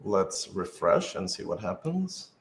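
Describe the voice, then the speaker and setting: A man's voice: sits low, sing-song, unhurried. A man chatting casually on a live stream, answering questions as one of a panel. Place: indoor room, live stream. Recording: echoey room.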